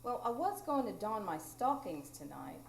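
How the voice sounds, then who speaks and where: A woman's voice: mid-range voice, very animated, moderate pace, creaky. A woman playing a feisty, plain-spoken landlady who scolds in a motherly, colloquial way, in a live radio play. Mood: incredulous, game. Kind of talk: live radio comedy-drama acting. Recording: echoey live performance room.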